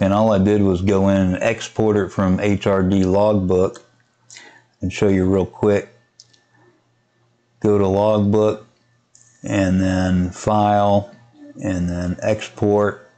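A man giving a casual, unscripted software tutorial, hesitant and thinking aloud as he goes. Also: low-pitched. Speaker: amateur radio hobbyist giving a software tutorial. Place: indoors at a computer, voice-over of screen recording.

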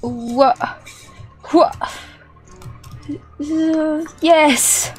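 Very high-pitched young woman gamer. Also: extremely slow, darkish tone. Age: young adult. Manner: giggly, exclamatory, repeats phrases. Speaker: gaming video host. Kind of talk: playful gameplay commentary. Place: small indoor room with plush toys. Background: music.

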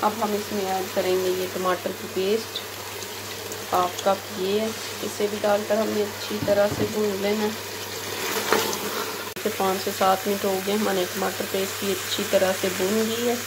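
A woman hosting a cooking channel, calmly listing ingredients and giving step-by-step recipe instructions. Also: mid-range voice, background noise. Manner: plain, measured listing of ingredients. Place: home kitchen. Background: frying and stirring sounds.

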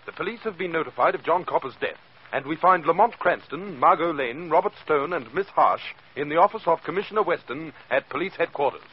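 A man's voice: fairly high pitch, expressive, fairly quick, slightly rough. A man narrating a radio drama in scripted 1940s announcer style. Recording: dull 1940s radio recording. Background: music.

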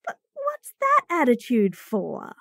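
A woman's voice: high voice, wildly swooping pitch, takes it slowly, creaky, bright, forceful tone, no room sound, clean studio sound. A woman voicing a bratty, sassy bunny-girl chef in a fantasy roleplay audio, teasing and talking straight to the listener. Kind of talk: F4M roleplay audio, acted monologue.

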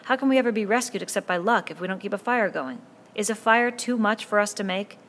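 A woman's voice: medium pitch, fast talker, fairly bright tone, slight background noise, voice pushed up, close to an everyday voice. A woman voicing an earnest boy chief. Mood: urgent. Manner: pleading, insistent speechmaking.

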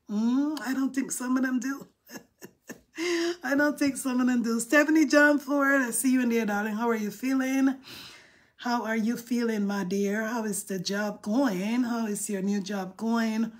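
A middle-aged woman with a Barbadian accent, chatting playfully and sassily on a phone live stream from a room indoors. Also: on the high side. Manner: chatty and playful, sassy asides. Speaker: livestream host. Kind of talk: casual live-stream chat. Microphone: phone held close, selfie framing.

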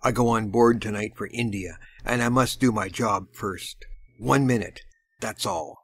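A man's voice: mid-range voice, a little slow, creaky, a bit bright, a little hiss or hum. A man voicing a vengeful, thick-set sailor in an audiobook, blunt and growling with menace. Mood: menacing, angry.

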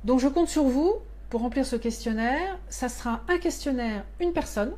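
A woman's voice: sits high, lively intonation, moderate pace, mellow. Earnest woman explaining from her office. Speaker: member of parliament addressing constituents abroad. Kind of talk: live-streamed monthly address. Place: small office with shelves of binders. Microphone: webcam or phone at desk.

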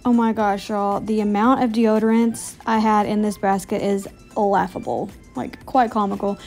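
A woman's voice: mid-range voice, flat delivery, normal speed, darkish tone, soft background music. A woman in her thirties with an American accent, chatting casually and informally as a self-deprecating homemaking vlogger.

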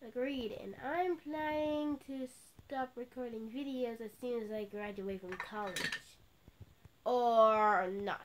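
A young girl chatting with a friend during a game video, rambling with lots of pauses and self-corrections. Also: fairly high pitch, steady tone, a little hiss or hum. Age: child. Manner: rambling, many fillers and restarts. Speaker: friend co-hosting. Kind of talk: casual chat.

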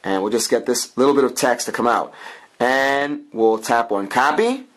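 A man with a mid-pitched voice reviews an app hands-on. His delivery is casual and improvised, like a channel host explaining each step as he goes. He tends to circle back and restate his points. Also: animated, normal speed. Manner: talks in plural "we", informal, improvised and repetitive. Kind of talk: casual hands-on product demo commentary.

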